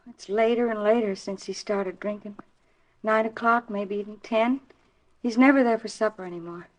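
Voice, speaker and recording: An actress playing an anxious, pleading wife in a 1950s radio drama, speaking hesitantly and earnestly. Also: female voice, mid-range voice, moderate pace, lightly acted. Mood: resigned, sad. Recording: dull vintage 1950s radio recording.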